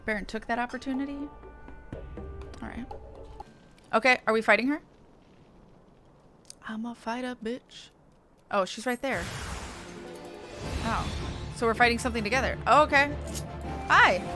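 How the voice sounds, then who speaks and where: A woman's voice: on the high side, normal speed, long pauses. A woman streaming a game, giving casual, irreverent commentary. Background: game music.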